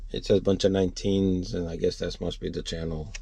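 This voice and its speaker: A man giving a casual, unscripted how-to for a vlog, rambling and thinking out loud as he works. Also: low voice, flat delivery, fairly quick, creaky, a little hiss or hum. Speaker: vlogger showing a DIY truck installation.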